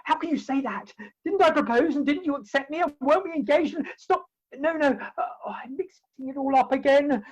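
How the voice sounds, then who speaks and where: A woman playing a bumbling, lovesick Victorian gentleman in a comic play, with an English accent and blustering, theatrical delivery, recorded at home over a video call. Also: high-pitched, lively intonation, a little fast, few pauses, poor audio. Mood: pleading. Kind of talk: comic stage-play acting.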